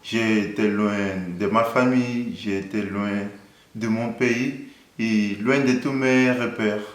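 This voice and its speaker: A man in his thirties from Angola, a Portuguese speaker, calmly and earnestly sharing his faith story in an interview. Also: fairly low pitch, slow pace, reverberant. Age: 30s. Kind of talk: personal faith testimony.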